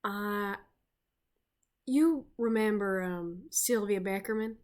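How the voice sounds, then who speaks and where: A player voicing a centuries-old undead man who hosts old friends and speaks slowly with hesitant pauses. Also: high voice. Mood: somber. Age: centuries-old, looks adult. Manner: slow, halting, hesitant with fillers. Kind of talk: in-character roleplay speech.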